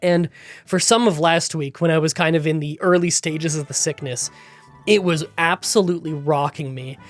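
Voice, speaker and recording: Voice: medium pitch, steady tone, normal speed, soft background music. A gaming YouTuber with a nasal, congested voice from a cold, chatting through a challenge recap and rambling story into a close voiceover mic.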